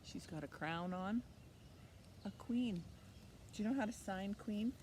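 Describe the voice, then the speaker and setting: Lady doing kids storytime, unhurried and sing-songy, repeats words, noticeable noise behind her. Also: medium pitch. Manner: sing-song, addressing young children, repeats key words. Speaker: children's library storytime host. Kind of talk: children's storytime teaching, questions and simple repetition. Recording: clear steady background noise.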